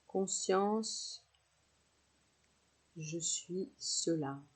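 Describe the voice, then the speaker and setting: A woman teaching yoga nidra, leading a guided relaxation meditation with soothing, unhurried instructions and pauses between phrases. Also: fairly low pitch, husky.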